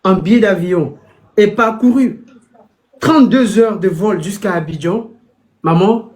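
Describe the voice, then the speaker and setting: A man with a high voice speaks earnestly as a guest. He repeats whole phrases to drive his points home, in a steady, insistent way. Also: a little slow. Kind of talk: interview over a call.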